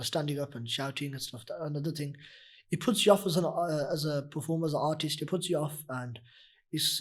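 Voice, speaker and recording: A young man with a husky, deep voice, a nasheed reciter talking earnestly in a casual interview, full of "you know" fillers. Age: young adult. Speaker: nasheed artist guest.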